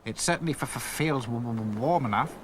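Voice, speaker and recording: A man's voice: mid-range voice, brisk, slight background noise, natural voice. A man voicing a nervous male rag doll who stammers, in a cartoon.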